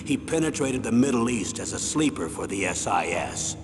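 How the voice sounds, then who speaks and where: A man acting as an aging military colonel in a video game, grave and persuasive, with a gravelly voice and measured, authoritative delivery. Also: sing-song, faint music behind. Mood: grave briefing. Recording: echoing hall, vehicle rumble noise.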